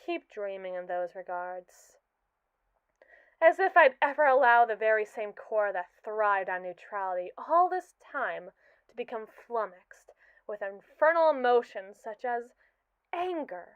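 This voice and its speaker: A young woman voicing a haughty, scheming captive robot AI in a scripted roleplay, speaking in an arch, mocking and wordy way with slow, drawn-out emphasis. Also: mid-range voice, clear, resonant tone, dry recording, narrow, tinny audio. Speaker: ASMR roleplay performer. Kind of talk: scripted ASMR roleplay monologue.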